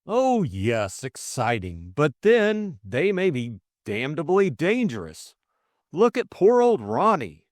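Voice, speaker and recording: A man's voice: fairly high pitch, wildly swooping pitch, slow, dry recording, studio quality, pitched above the performer's own voice, heavily acted. A man voicing an anxious, earnest upper-class young man in an audiobook drama, hesitant and full of old-fashioned exclamations. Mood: worried, earnest.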